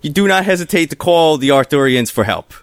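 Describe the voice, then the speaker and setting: Podcast co-host, male, reads in a bouncy pitchman style, a little high, dry mic. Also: expressive, fairly quick, vocal fry, no room sound. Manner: mock pitchman delivery while reading. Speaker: podcast co-host reading an article aloud. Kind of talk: comedic podcast reading with banter.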